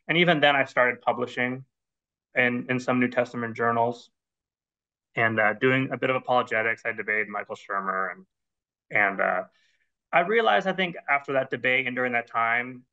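Gravelly American man on a call. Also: mid-range voice, sharp tone. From Netherlands. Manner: earnest, explanatory. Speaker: guest scholar. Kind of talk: interview. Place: plain indoor room. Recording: dull lo-fi video call audio.

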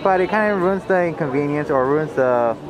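A woman's voice: low voice, lively intonation, fairly quick, a crowd in the background, poor audio. A woman chatting casually outdoors as the companion on a vlog. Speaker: vlog companion behind the camera. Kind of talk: casual chat. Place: outdoors at night in a theme park. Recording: music playing behind.

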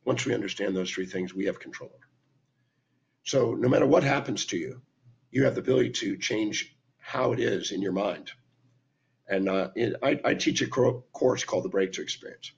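An older man with a hoarse, raspy voice from laryngitis, giving an emphatic teaching talk to a webcam. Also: lowish voice, normal speed. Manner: emphatic, lecturing, lists points with hand gestures. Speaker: speaker and teacher. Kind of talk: talking to camera, teaching talk. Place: indoor room at home. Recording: dull lo-fi webcam sound.

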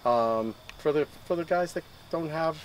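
A man's voice: on the high side, measured pace, slight background noise. A middle-aged man at an outdoor campground, enthusiastically explaining his trailer to a handheld camera, with frequent restarts. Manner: enthusiastic, explains gear hands-on with many restarts. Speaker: trailer owner. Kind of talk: casual show-and-tell interview.

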